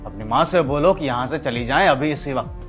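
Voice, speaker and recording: A man acts out a domineering, angry husband in a family melodrama. He is scornful and confrontational, hammering his demands home at a middle pitch, with music playing underneath. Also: lively intonation, a little fast, fairly bright tone, natural voice. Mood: outraged. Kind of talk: melodramatic family drama acting.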